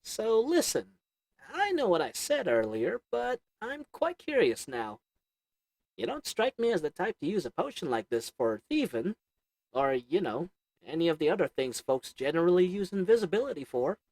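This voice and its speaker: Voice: medium pitch, very animated, moderate pace, darkish tone, no room sound, poor audio. A performer voicing a sly, haggling goblin potion merchant who talks to the listener with wheedling, sarcastic salesman patter and little chuckles. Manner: chuckles between lines. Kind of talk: audio roleplay acting to the listener.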